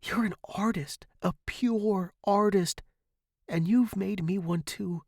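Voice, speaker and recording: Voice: slow, a little mellow, no room sound, studio quality. A storyteller voicing a devoted young male music student, earnest, emotional and imploring. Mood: adoring. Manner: earnest, imploring, high and distraught.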